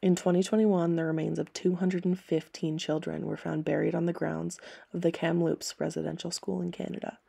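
Very creaky, low-pitched woman narrator. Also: level tone, fairly quick, dark tone. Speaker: storybook reader and history presenter. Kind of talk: read-aloud and scripted educational narration.

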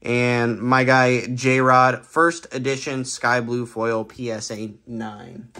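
Young male host, bright tone, quick casual rundown of card buys at a table, lots of "uh"s. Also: medium pitch, fairly even intonation. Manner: brisk listing of items with frequent fillers. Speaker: sports card dealer and channel host. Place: indoor room, cards on a table.

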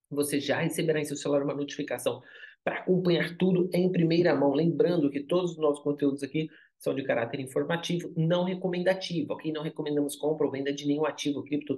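A young man hosting a crypto channel, talking upbeat and salesy over a screen-share walkthrough, always tagging lines with quick asides. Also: a little high, a little mellow. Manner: upbeat, salesy, constant "olha só" and "tá?" tags.

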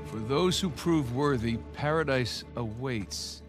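A man's voice: mid-range voice, slow, over music, lightly acted. An actor playing a wry, joking male treasure hunter in a video game cutscene, trading dry banter.

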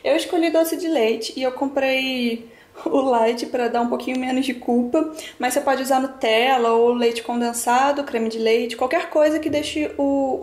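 A woman's voice: high-pitched, darkish tone, roomy sound. A young woman in her twenties from Brazil, chatting through a cooking tutorial in her home kitchen and explaining each step to her viewers. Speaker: cooking vlogger.

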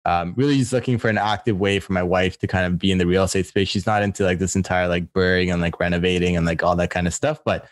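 A man co-hosting a real estate podcast in casual chat, quick and filler-heavy with casual swearing. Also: sits low, steady tone, no room sound, studio quality. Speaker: podcast co-host and mortgage broker.

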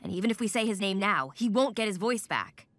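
A woman voicing a dry, gloomy teenage sorceress in a cartoon, gravelly and deadpan. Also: medium pitch, brisk, no room sound, close to an everyday voice. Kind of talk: animated cartoon voice acting. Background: music.

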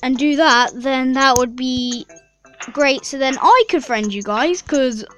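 A woman's voice: high voice, slow, fairly bright tone. Young girl chatting over game music. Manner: rambling, chatty, giggly, with many "so yeah" fillers. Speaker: gaming YouTuber. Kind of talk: casual mobile game commentary.

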